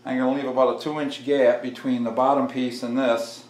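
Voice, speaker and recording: A man's voice: mid-range voice, little pitch movement, a little fast, a little mellow. A middle-aged man thinking aloud as he narrates a hands-on build, folksy and self-deprecating, half muttering to himself and the viewer. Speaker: DIY workshop host. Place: indoor garage workshop. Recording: echoey workshop, clattering tool noise.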